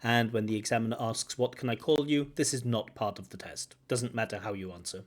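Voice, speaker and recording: A man from Wales, an English examiner, giving feedback on a speaking test and explaining points with examples and gentle corrections. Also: mid-range voice, fairly quick. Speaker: IELTS examiner and teacher. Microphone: video call.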